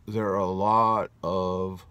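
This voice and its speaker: A man's voice: low voice, level tone, takes it slowly, sharp tone, clear, resonant tone, no room sound. A man in his forties from Southern California, telling a personal story in a rambling, reflective way and often correcting himself. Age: 40s. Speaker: vlogger.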